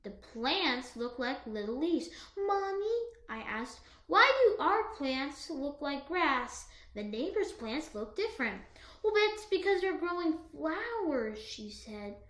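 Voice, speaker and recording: Bright, high-voiced female storybook reader. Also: very animated, takes it slowly, roomy sound. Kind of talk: read-aloud of a children's picture book.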